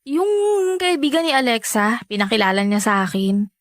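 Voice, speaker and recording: A young Filipina girlfriend in a radio love drama. Her voice sits very high and swings widely, recorded close and dry. She is defensive, exasperated and easily hurt, protesting in everyday speech. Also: lively intonation, normal speed, a bit bright, dry recording. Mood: evasive. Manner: emotional, protesting, conversational.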